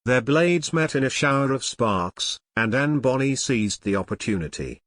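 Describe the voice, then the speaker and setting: A man's voice: mid-range voice, expressive, moderate pace, fairly bright tone, dry recording, clean studio sound. A man narrating an audio drama in a scripted, dramatic storytelling style.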